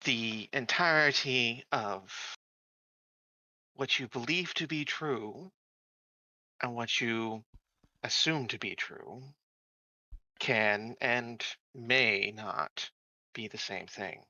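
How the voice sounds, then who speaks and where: Bright, halting man playing an online tabletop game. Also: mid-range voice, no room sound, dull audio. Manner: halting, stammering starts, then slow measured speech. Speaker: player. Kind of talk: in-character roleplay as a synthetic colonist.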